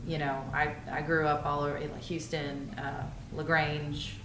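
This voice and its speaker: A woman's voice: low voice, level tone, a little slow, noisy background. A woman from Dallas, a songwriter chatting casually in an interview, talking freely and restarting her sentences with "you know". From Dallas, Texas. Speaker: songwriter guest. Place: recording studio. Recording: roomy studio sound.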